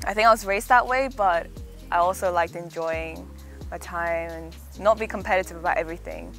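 A woman's voice: fairly low pitch, a little fast, fairly bright tone, soft background music. A young woman raised in Hong Kong with a slightly American accent, talking casually and reflectively about her childhood in a garden interview. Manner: chatty, reflective, laughs easily. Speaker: interviewee, singer-songwriter. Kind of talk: relaxed documentary interview. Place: outdoors in a back garden.

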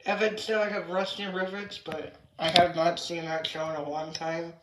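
A woman chatting casually and unscripted about toys, in a halting, repetitive way that trails off. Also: on the low side, flat delivery. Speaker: toy reviewer. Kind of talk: casual unscripted toy review chat. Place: indoor room with hard tiled floor. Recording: echoey room, background noise, clicks.

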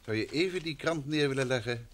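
An actor playing a dry, grumbling, polite gentleman husband in a vintage radio play. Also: mid-range voice, brisk, natural voice. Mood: wry, grumbling. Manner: polite, wry, sarcastic. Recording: old radio recording, faint noise.

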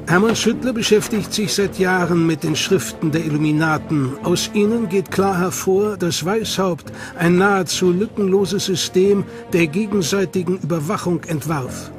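Male documentary narrator, high voice, scripted, suspenseful, music loud behind. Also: moderate pace, hardly any pauses. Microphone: studio mic. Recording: studio voice-over over loud music.

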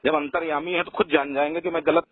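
A man's voice: on the high side, fairly even intonation, fast talker, husky, dry recording. A man on a phone call, deferential but insistent and repetitive. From Lucknow, India. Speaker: caller. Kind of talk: phone conversation. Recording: tinny narrowband phone call recording.

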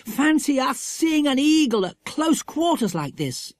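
A narrator voicing an excited, bold and bossy schoolboy in a children's adventure story, with eager, exclamatory delivery. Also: mid-range voice, normal speed, dry recording, pitched above the performer's own voice. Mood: excited relief.

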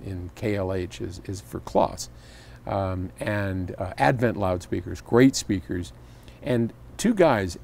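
A man's voice: fairly low pitch, creaky, darkish tone. An older man talking casually to the camera outdoors, answering a viewer's question in a chatty, rambling way with lots of fillers and restarts. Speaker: audio company founder answering viewer questions. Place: outdoors on stone steps by a garden.

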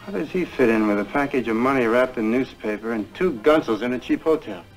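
An actor playing a wary, wisecracking private investigator in a 1960s television detective drama. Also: male voice, medium pitch, animated, brisk, natural voice, poor audio. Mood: puzzled. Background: score music.

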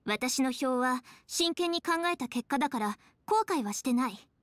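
Composed young noblewoman, high voice, formal and serious, anime game. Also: expressive, fairly bright tone, no echo. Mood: resolute. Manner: measured, formal. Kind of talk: Japanese anime game voice acting.

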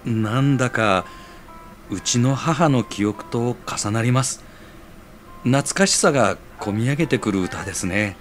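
A man playing a quiet, gentle, sentimental man in a radio sound drama, soft-spoken and unhurried. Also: medium pitch, expressive, soft background music, lightly acted. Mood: nostalgic.

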